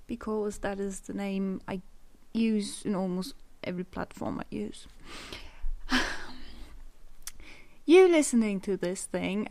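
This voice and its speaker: A young woman from Germany talks in German-accented English, hosting her own unscripted podcast. She speaks at an unhurried pace, drifting off on tangents and poking fun at herself. She is recorded at home on a condenser microphone. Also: medium pitch, gravelly, darkish tone. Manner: rambling, self-deprecating, laughs often. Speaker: podcast host. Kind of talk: unscripted solo podcast chat. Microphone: USB condenser microphone.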